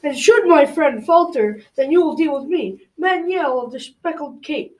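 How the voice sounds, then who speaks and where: A woman's voice: sits high, lively intonation, measured pace, a little mellow. A young girl playing a fierce, threatening knight in a school radio drama. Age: child or teen. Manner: formal, threatening. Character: fierce merciless male knight backing his friend. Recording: distant, noisy school recording.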